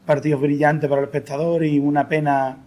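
Football coach, mellow, analytical voice. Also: male voice, fairly high pitch, level tone. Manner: measured, analytical, with restarts. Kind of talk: post-match interview.

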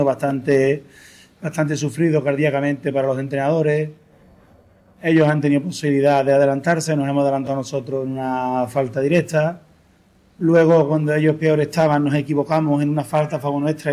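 A man, a football coach, calmly breaking down a match in a post-game interview. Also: on the high side. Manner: measured, analytical, with restarts.

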